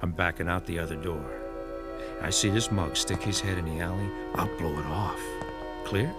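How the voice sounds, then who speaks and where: A man plays a hard-boiled American gangster in a radio play. His voice is very low, curt and cocky, with a defiant wisecracking edge. Music plays clearly behind him. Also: moderate pace, close to an everyday voice. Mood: defiant, threatening. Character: cocky, defiant, tough gangster in fancy shoes. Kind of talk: radio drama acting.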